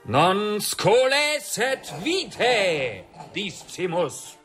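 Pompous wizard chanting very slowly. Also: male voice, high-pitched, sing-song, music in the background, heavily acted. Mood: solemn, pompous. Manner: pompous, declamatory. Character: solemn male wizard intoning mock Latin incantations. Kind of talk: theatrical radio drama incantation.

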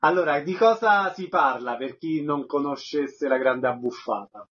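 A man hosts a radio interview in a somewhat high-pitched voice. His tone is rough and breathy at the edges, and the sound is slightly dull and lo-fi. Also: normal speed, fairly bright tone. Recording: muffled lo-fi radio audio.

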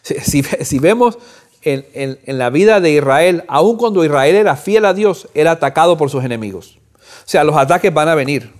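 A man in his fifties teaches a Bible lesson in a somewhat high voice. He speaks earnestly, repeating words as he thinks and climbing in pitch when he stresses a point. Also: animated, moderate pace. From Cuba. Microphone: audio recording.

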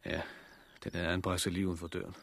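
A man's voice: low voice, monotone, gravelly. A man acting in a 1960s radio drama as a level-headed, persistent survivor, terse and matter-of-fact. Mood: sober, pondering.

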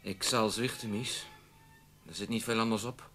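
Older weary newspaper boss, low bright voice, lamenting, self-doubting, radio drama. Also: male voice, steady tone, moderate pace, soft background music. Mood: resigned, regretful. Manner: resigned, lamenting. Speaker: actor.

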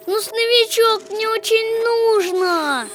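A woman's voice: extremely high pitch, takes it slowly, a bit bright, faint music behind, heavily acted. An actress playing an earnest, plaintive little boy in a children's audio play. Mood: hopeful. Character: Venya, earnest little boy seeking his father.

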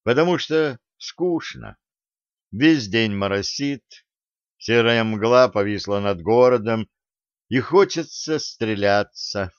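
A man narrates a novel in the first person. His voice sits on the low side. The recording is very dry, with a somewhat dull, lo-fi tone. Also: animated, slow, no echo. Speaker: audiobook narrator.

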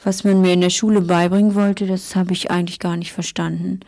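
An older woman recalling personal memories in a plain-spoken way, with hesitant pauses. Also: fairly low pitch, little pitch movement, a little mellow. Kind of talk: personal recollection.

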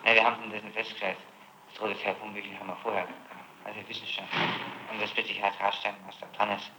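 A man's voice: fairly low pitch, flat delivery, very fast, roomy sound, heavy background noise. A man questioning a suspect as prosecutor in a formal, pressing and persistent manner, on an archival tape recording. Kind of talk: formal interrogation.

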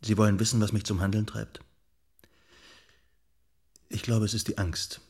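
Pensive anxious journalist, low husky voice, measured, radio drama. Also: male voice, flat delivery, a little mellow. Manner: reflective, measured. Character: thoughtful, anxious male journalist, candid and dryly articulate.

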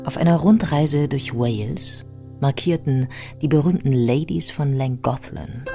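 A woman's voice: extremely low pitch, unhurried. A woman narrating a scripted biography as part of a radio play. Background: music.

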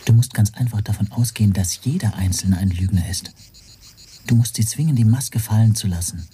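Radio drama inner monologue: stern, self-reproachful man played by an actor, mellow low murmur, slight noise behind. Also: fairly quick, lightly acted.

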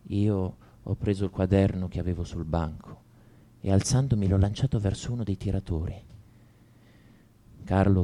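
Un attore che interpreta un liceale tormentato e amareggiato, in un monologo confessionale di radiodramma, con enfasi riflessiva. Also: male voice, low voice, dark tone, natural voice. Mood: grave, subdued. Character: brooding male high school student confessing to violence. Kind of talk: radio drama monologue, first-person narration.

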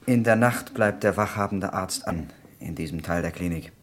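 An actor in a vintage German crime radio play playing a polite doctor under questioning, measured and slightly hesitant. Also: male voice, sits low, a little hiss or hum, natural voice. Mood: composed, factual. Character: composed, polite French hospital doctor under questioning, a man.

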